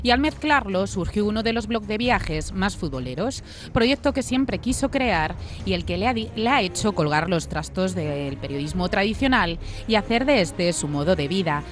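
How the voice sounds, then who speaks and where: A woman with a Castilian Spanish accent presents as show host over clear music. Her voice sits at a middle pitch, and she reads a prepared introduction. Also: lively intonation, normal speed. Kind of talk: scripted introduction and casual co-hosting. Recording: music behind the voice.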